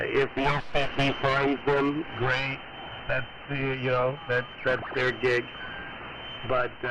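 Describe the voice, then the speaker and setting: A noisy radio transmission carries a man's voice at an ordinary middle pitch. He chats as a ham operator, easygoing and rambling, in a casual conversational style.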